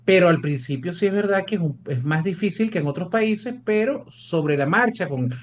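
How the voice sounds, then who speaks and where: A man's voice: high-pitched, expressive, moderate pace. A Venezuelan-born man, an immigration lawyer, explaining procedures in an interview, often repeating words as he restarts. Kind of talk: interview answers.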